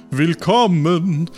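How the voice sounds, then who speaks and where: A high-pitched, oily tavern keeper put on by a man speaks over music. He is servile and money-minded, drawing out his words with exaggerated courtesy in an improvised tabletop game. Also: exaggerated voice. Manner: servile, drawn-out, chuckling. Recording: music under the voice.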